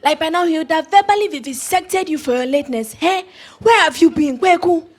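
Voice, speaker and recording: A woman's voice: extremely high pitch, a little fast. An actress with a Ghanaian accent playing a young female medical student in an audio drama. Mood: chiding. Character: young female medical student, chiding peers, deferential to seniors. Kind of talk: scripted audio drama acting.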